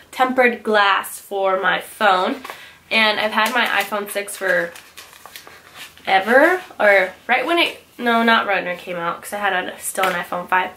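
A woman's voice: mid-range voice, pushed and bright, a little hiss or hum. A young woman in her twenties chatting casually through a haul video, a little nasal from a cold and often correcting herself as she goes. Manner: chatty, rambling, self-correcting. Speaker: beauty vlogger. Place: small bright bedroom with louvered closet doors.

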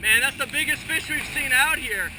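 A man cheering and shouting encouragement while fishing at sea, recorded on a boat-mounted action camera. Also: extremely high pitch, normal speed. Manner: shouts and whoops with excitement. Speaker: angler filming a fishing trip. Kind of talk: excited live cheering. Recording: boat engine and wind noise.

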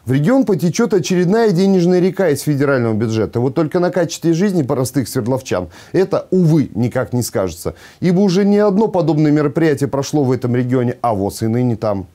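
A man's voice: a little high, expressive, fast, few pauses, mellow. A man in his thirties presenting scripted satirical news in a sardonic manner. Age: 30s. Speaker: news programme host. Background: music bed.

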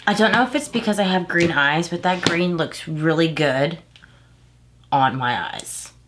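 Low-voiced chatty woman, bit stuffy. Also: a little slow, background noise. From Houston, Texas. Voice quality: slightly nasal, stuffy. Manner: chatty, rambling, self-correcting. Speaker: beauty vlogger. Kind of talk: casual makeup tutorial chat. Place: small room at home, indoors.

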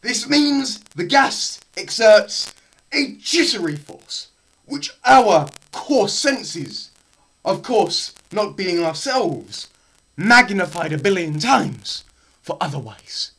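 A young man giving a dramatised lecture read aloud, theatrical and emphatic, with deliberate pauses, in a small room. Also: sits high, bright, forceful tone, poor audio. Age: teens to young adult. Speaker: presenter. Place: small bare room indoors. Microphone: camera a short distance away.

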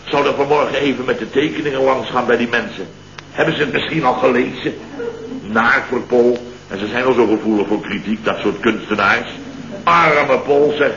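A man's voice: mid-range voice, moderate pace, a bit bright, lightly acted. An actor playing an exasperated, easily flustered office boss in a radio comedy from around 1970, sputtering and repeating his words. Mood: rueful. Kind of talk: 1970s Dutch radio comedy acting. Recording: dull, noisy, roomy old radio recording.

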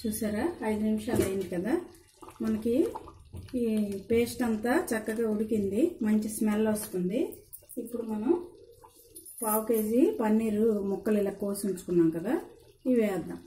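Female home cook, soft mellow tone, informal step-by-step instruction, noticeably echoey room. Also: medium pitch, fairly even intonation, moderate pace, pauses often. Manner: instructive, addresses viewers directly. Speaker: home cook presenting a recipe. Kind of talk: informal cooking demonstration. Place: indoor home kitchen at the stove. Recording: roomy kitchen sound with background noise.

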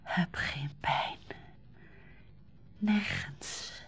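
An actress playing a weary, dying old woman in a radio drama, speaking faintly and haltingly in a whispery, husky voice. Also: on the low side, a bit bright. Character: dying, weary old aunt longing for death.

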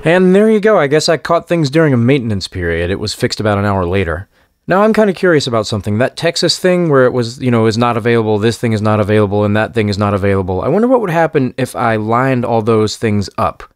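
Male host, offhand and sardonic, animated pitch, dry room not required: roomy sound. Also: quick pace. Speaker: host of a hobby radio monitoring show. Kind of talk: casual wry commentary.

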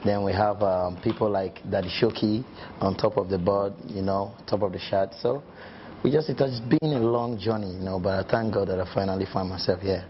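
A man's voice: sits low, steady tone, brisk. A young Nigerian man giving casual interview answers, often adding "you know" as he goes. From Delta State, Nigeria. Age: young adult. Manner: frequent "you know" asides. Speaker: singer and former rapper being interviewed. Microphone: clip-on lapel mic. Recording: dull sound with light background noise.

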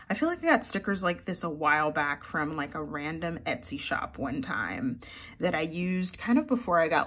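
Young woman with a lowish husky voice rambling about stickers, kinda froggy, giggly. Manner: chatty, giggly, gushing. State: slightly froggy morning voice. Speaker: planner vlogger. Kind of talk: casual sticker haul chat.

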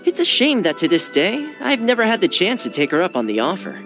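A young man playing a friendly, earnest housekeeper retainer in a video game, eager, courteous and expressive. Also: high voice, brisk, exaggerated voice. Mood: sincere, conversational. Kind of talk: video game character voice lines. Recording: studio voice over background music. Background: game menu music.

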